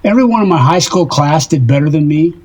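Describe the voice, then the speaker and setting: Lovesick older husband, husky, slightly high voice, rambling, halting, self-deprecating, audio drama. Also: male voice, lively intonation, darkish tone. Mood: wistful rambling.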